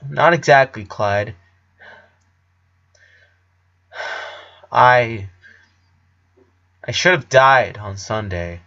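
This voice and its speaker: A man voicing a sick eleven-year-old boy in a story reading, weary and subdued, with halting speech. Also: mid-range voice, lively intonation, bright, forceful tone, dull audio, full character voice. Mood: hesitant.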